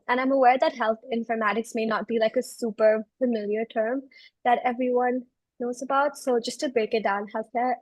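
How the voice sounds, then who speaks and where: A woman's voice: on the high side, no room sound, low-quality recording. A woman in her twenties from Belgium, explaining things conversationally as an interview guest. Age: 20s. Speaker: interview guest, research analyst.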